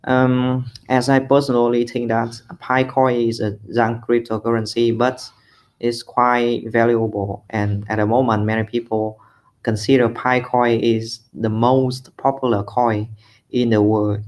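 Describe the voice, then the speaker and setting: A man's voice: medium pitch, little pitch movement, a little slow, darkish tone. A man from Vietnam with a Vietnamese accent, presenting a prepared opinion as a community discussion participant.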